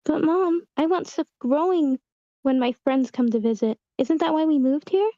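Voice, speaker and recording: Woman playing a high-voiced, whiny young girl. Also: moderate pace, dark tone, dry recording, lo-fi sound, lightly acted. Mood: pleading. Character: bored, pestering, defiant young girl. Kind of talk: amateur screenplay table read. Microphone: video call.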